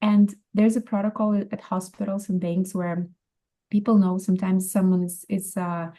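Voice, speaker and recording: A woman's voice: mid-range voice, little pitch movement, normal speed, mellow. A woman giving casual, supportive critique as a workshop participant, explaining her ideas through examples.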